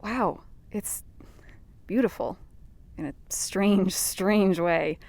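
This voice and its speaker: A woman's voice: medium pitch, drawn-out words, a little mellow, no room sound. A woman playing a skeptical, questioning and loyal young woman in a science fiction podcast drama. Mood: curious. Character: skeptical, loyal young woman named Jenna caught up in adventure.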